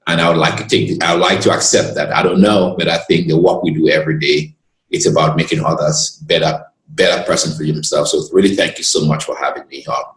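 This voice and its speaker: A man from Cameroon, a lawyer and guest who tells his story in an earnest, expansive way and pauses to gather his words. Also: a bass voice, fairly even intonation, a bit bright, reverberant, poor audio. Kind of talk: reflective storytelling in conversation.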